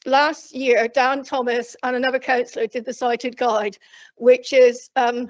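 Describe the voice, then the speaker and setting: A woman from Cornwall speaking loudly and plainly from memory to a council committee, rambling with frequent restarts. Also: on the high side. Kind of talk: unscripted address from memory. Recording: dull lo-fi council meeting recording.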